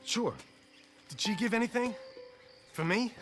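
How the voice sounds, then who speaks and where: An actor playing a nervous, refined young gentleman in love, talking in an anxious, pleading way. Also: sits high, a little slow, faint music behind. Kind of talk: video game cutscene acting.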